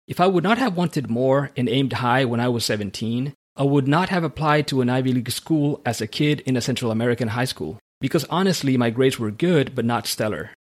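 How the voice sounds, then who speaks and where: A man's voice: medium pitch, a little fast, hardly any pauses. A man hosting a podcast, reading a motivational script in an earnest tone, then interviewing a guest. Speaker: podcast host.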